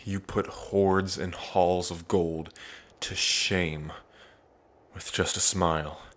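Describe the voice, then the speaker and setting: A man playing an earnest, devoted dragon prince in an intimate ASMR roleplay, pausing between phrases. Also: low voice, steady tone, fairly bright tone, heavily acted. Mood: tender, lovestruck. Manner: slow, earnest, with long pauses between phrases. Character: lovestruck dragon prince, grieving, tender, devoted.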